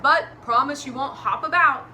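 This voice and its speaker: Woman playing a loud, firm storybook grandpa. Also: high voice, moderate pace, a little hiss or hum. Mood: firm, agreeable. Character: firm, kindly grandpa boatman.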